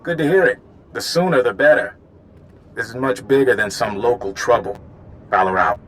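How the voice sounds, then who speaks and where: Guy playing a smooth talking shady agent in a game, friendly but sly, regular mid pitch. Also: lively intonation, moderate pace, slight background noise, lightly acted, low-quality recording. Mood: affable, sly. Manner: informal, insinuating. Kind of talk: video game cutscene acting.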